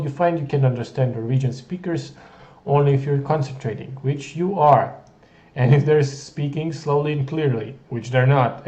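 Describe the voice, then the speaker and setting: A man's voice: medium pitch, dark tone, echoey room, highs cut off. A man reading a text adventure game aloud, adding wry, jokey asides in a playful way.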